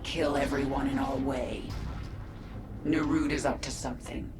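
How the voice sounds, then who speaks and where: A woman playing a grim, ruthless alien swarm queen in a video game, speaking in a cold, deliberate, commanding way. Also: a bass voice, steady tone. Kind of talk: dramatic video game acting. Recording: echoey, game music behind.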